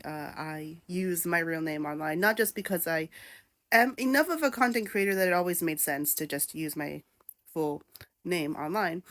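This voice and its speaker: A woman's voice: lowish voice, a bit bright, dry recording. A woman in her thirties, born in Japan and raised in New York, talking candidly to camera in a casual vlog full of fillers and asides. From born in Japan, raised in New York City. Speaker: content creator.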